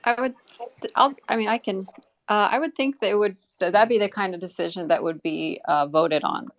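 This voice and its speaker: Tentative woman with a gravelly creak. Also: mid-range voice, a little fast. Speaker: community project member. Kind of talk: casual interview answer. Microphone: online voice call.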